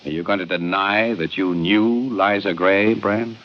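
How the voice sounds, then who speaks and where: An actor playing a shrewd homicide inspector in a 1950s radio crime drama, questioning in a probing, pointed way. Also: male voice, deep voice, steady tone, measured pace, slight background noise. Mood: dry, pointed. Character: shrewd, accusing male homicide police inspector. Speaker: lead actor in a detective series. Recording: muffled vintage radio recording.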